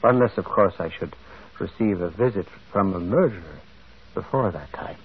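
Bumbling curious priest detective, stammers, voice swings up and down, old tinny radio play. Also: male voice, sits low, expressive, normal speed, very noisy. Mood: politely probing. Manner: hesitant, stammering, repeats words. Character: mild, inquisitive, bumbling male priest sleuth. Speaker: lead actor playing a priest detective. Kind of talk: 1940s radio acting. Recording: muffled, phone-like old radio recording.

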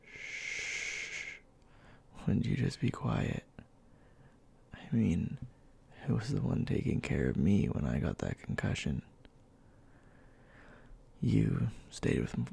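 A man playing a bossy but tender young boyfriend in a soft, intimate ASMR roleplay, speaking in a halting, breathy murmur that often drops to a whisper. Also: extremely low pitch, heavily acted. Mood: bossy, fussing. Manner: halting, murmured, scolding yet caring.

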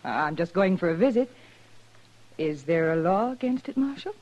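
A woman's voice: fairly low pitch, animated, moderate pace, a little mellow. An actress playing a defensive saloon woman in a 1950s radio drama, evasive and bristling. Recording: muffled old radio recording.

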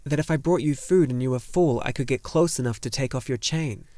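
Man as an earnest young boy hero, pitched up. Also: brisk, warm tone, dry recording, clean studio sound, lightly acted. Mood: hesitant.

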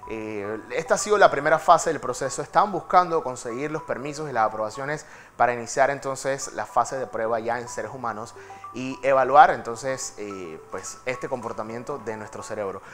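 A man's voice: medium pitch, moderate pace, fairly bright tone, soft background music. A man presenting a technology segment in a TV news studio, talking in a chatty, dramatic way with fillers. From Panama. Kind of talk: studio tech segment, conversational.

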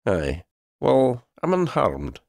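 A man's voice: medium pitch, wildly swooping pitch, slow pace, creaky, darkish tone, dry recording, studio quality, voice pushed up, exaggerated voice. A man voicing a proud, lordly talking male cat in a storybook, with pompous, formal wording. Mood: ashamed. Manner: pompous, formal wording with Scottish turns of phrase.

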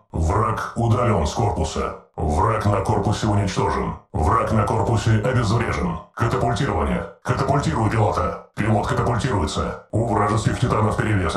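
Stern game war-machine AI played by a man, low male voice, clipped tactical callouts, roomy and distant. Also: close to an everyday voice. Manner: clipped, formal military reporting. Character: male combat robot titan AI, stern tactical advisor. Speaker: in-game battle announcer. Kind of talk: short scripted combat callouts.

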